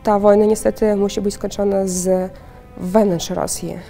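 A woman in her twenties from Russia answering interview questions in Polish, slow and measured. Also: medium pitch, level tone, warm tone, soft background music. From Kaliningrad, Russia. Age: 20s.

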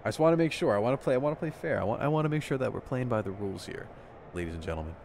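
A man in his twenties in a small room with a headset, casually commentating a live stream and chatting excitedly with viewers. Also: medium pitch, very fast, vocal fry, noisy background. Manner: excitable, chatty, addresses chat.

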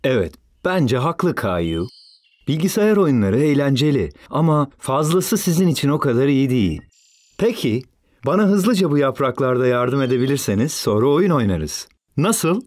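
A man's voice: medium pitch, big pitch swings, moderate pace, darkish tone. A man playing a friendly, easygoing and encouraging father of young children in a cartoon dub.

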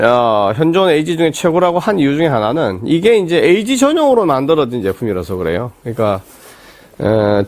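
Hoarse man with a cold, lively voice. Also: on the high side, no room sound. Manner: rambling, with many fillers and restarts. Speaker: football boot reviewer. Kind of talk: casual product review talk. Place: small indoor shop room with shelves of boots.

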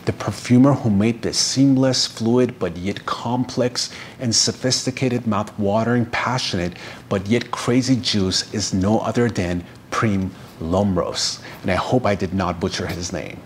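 A middle-aged man whose native language is Farsi speaks to camera in a fairly low voice. He reviews fragrances effusively, lingering over each description. The indoor recording carries some steady background noise. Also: a little slow. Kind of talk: enthusiastic product review to camera. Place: indoor room, seated.

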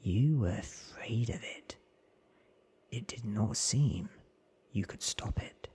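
Very breathy man whispering a story. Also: fairly low pitch, lively intonation. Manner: slow, hushed, deliberate. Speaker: ASMR story reader. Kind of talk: whispered ASMR reading of a novel. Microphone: close microphone with foam windscreen.

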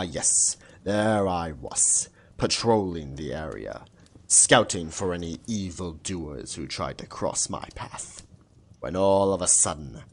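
A man's voice: low voice, full character voice. A man voicing a boastful, easily scared echidna warrior in a fan cartoon, with pompous, stilted formal speech. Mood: grand, boastful. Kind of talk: fan-film cartoon acting.

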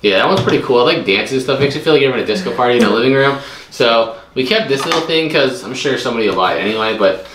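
A man's voice: medium pitch, animated, very fast. A man in casual chat, going over items and estimating what they will resell for. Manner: chatty, estimating resale prices with a few restarts. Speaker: co-host reselling storage unit finds. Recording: echoey room with faint noise.

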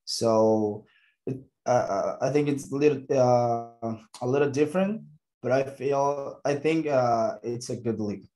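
A man in his twenties with a Mexican Spanish accent, a football player answering press questions in halting English, often stopping and restarting as he searches for words. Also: medium pitch, mellow.

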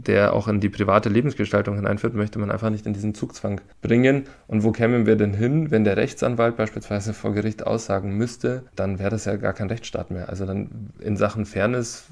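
Male legal expert for podcast interview, low-pitched with plenty of fry, explanatory delivery. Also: darkish tone.

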